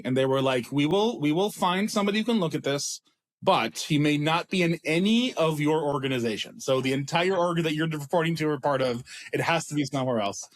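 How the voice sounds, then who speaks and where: A man in his thirties with an Israeli accent, talking casually as a startup founder in an interview, rambling and self-deprecating with frequent restarts. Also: a little high. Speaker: startup CEO guest.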